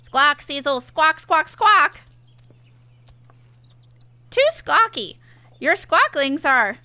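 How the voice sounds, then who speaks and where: A woman voicing an excitable bird-beastman from a video game, speaking in inverted sentences punctuated by squawks. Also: sits high, drawn-out words, dry recording, voice pushed up, full character voice. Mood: agitated, indignant. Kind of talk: game dialogue read aloud in character.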